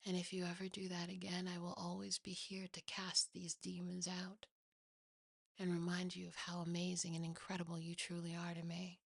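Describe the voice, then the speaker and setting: A woman voicing a gentle, devoted boyfriend with a soft, reassuring manner in a horror audio roleplay. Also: fairly low pitch, completely flat delivery, moderate pace, gravelly, husky, no echo, clean studio sound. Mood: tender reassurance.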